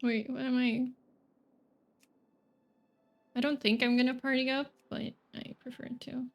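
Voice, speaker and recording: A woman's voice: sits high, little pitch movement, a little slow, dull audio. A young woman from Sweden streaming a game and chatting casually, rambling and correcting herself as she thinks aloud. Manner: rambling, hesitant, self-correcting, giggly. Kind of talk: casual gameplay chat.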